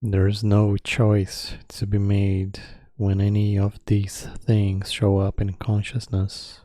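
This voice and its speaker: A man guides a meditation in a very soft, dark, relaxed voice. He speaks slowly and calmly, leaving long pauses between short phrases. The sound is dry and close. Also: lowish voice, no room sound.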